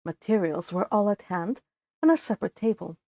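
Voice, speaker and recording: A woman hosting a book read-along, moving between casual, chatty talk and expressive reading aloud, with informal asides. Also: medium pitch, fairly quick, gravelly, no room sound. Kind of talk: casual livestream chat and expressive audiobook-style reading aloud.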